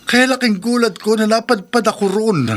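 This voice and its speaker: Rattled taxi driver, very high bright voice, stammering, earnest, Filipino radio drama. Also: male voice, moderate pace. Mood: earnest, troubled. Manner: stammering, breathless pleading. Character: frightened, bewildered male taxi driver, earnest husband.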